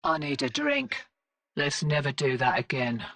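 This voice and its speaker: Gravelly, cocky rebel gunner. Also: female voice, sits low, huge pitch swings, unhurried, a bit bright, distant mic, exaggerated voice. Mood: weary, wry. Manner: wry, swaggering, casual. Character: brash, cocky, sardonic female rebel gunner. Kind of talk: dramatic game mission dialogue.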